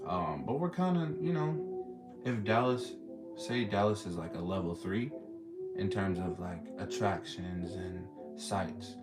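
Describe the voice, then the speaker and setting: A young man with a Texan drawl talks in a loose, slangy way as he hosts a casual stream. His voice sits low. Loud music plays underneath the whole time. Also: measured pace. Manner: laid-back, slangy, reading and answering chat. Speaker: streamer host. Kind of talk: casual live chat with callers. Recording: loud music playing behind.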